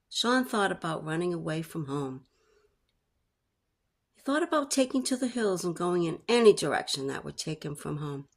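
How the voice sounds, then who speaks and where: A woman's voice: lowish voice, vocal fry. A woman reading a story aloud as a storyteller, in narration style.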